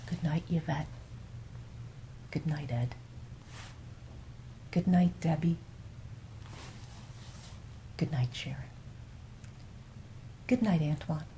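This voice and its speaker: A woman telling bedtime fables as a storyteller, in an unhurried, soothing and expressive way. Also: deep voice, a little hiss or hum.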